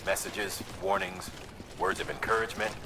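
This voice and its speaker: A man playing a steady, encouraging logistics handler who gives measured, instructive briefings to a courier in a video game. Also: on the low side, gravelly, background noise. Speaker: mission dispatcher.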